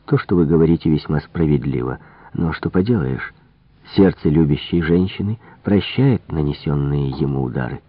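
A man voicing a shrewd, courteous middle-aged Belgian detective, speaking in a deliberate, gently probing way. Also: very deep voice, no echo. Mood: sympathetic probing. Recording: muffled, phone-like narrow-band audio.

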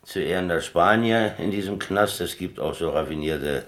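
An actor playing a worldly, plain-spoken prison inmate in a radio drama, explaining things in a colloquial, laconic, matter-of-fact way. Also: male voice, low voice, normal speed, fairly bright tone. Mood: reassuring, confident.

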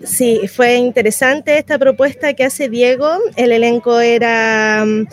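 A woman's voice: on the high side, steady tone, a little slow, soft background music. A Chilean woman, a theatre performer, talking casually and in a meandering way as a guest in a radio interview.

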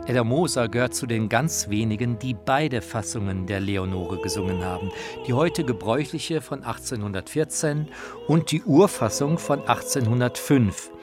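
Man, medium pitch, scripted radio-feature narration, faint operatic music behind. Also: moderate pace. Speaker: author and narrator.

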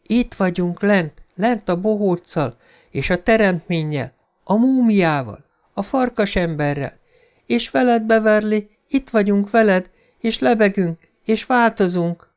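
A man's voice: sits high, lively intonation, clear, resonant tone, voice pushed up. A man whispering as a ghostly voice from the drain. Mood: gloating. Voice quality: hushed, muffled, whispering. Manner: gloating, taunting, ancient-sounding. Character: eerie whispering voice of drowned dead children. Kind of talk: audiobook character voice.

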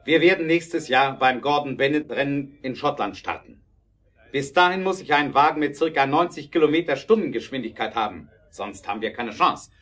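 A man's voice: medium pitch, lively intonation, brisk, fairly bright tone. A man playing an earnest, determined car inventor in an audio drama, speaking formally and emphatically. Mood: earnest, resolute. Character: earnest, determined male automobile inventor and businessman.

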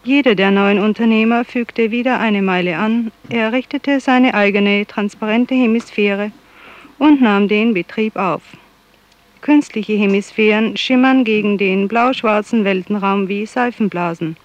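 Recorded travel guide, female, actress, measured factual narration, dry and a little muffled, seventies radio play. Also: medium pitch, no echo, close to an everyday voice. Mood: informative, composed. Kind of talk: scripted narration in 1970s radio drama.

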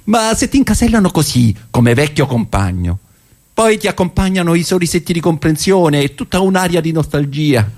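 A man acting a wry, wary old leftist militant in a deliberate, ironic stage monologue. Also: fairly high pitch, animated, darkish tone. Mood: wry, self-mocking. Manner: deliberate, ironic, with weighted pauses. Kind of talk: theatrical political monologue.

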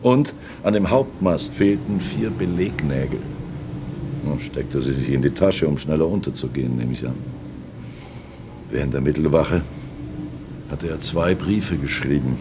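A low-pitched old sailor, loyal and grieving, tells his memories in a radio play. He broods and repeats himself, with some ambient noise behind him. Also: measured pace, long pauses. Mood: mournful reminiscing. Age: older. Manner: ruminative, reminiscing, repeats himself. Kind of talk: radio play monologue, storytelling.

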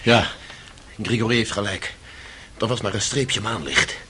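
An actor playing an intense accused son who recounts a night in a radio drama, in a poetic, confiding manner. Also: male voice, on the low side, a little slow, background noise, lightly acted. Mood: tense recollection.

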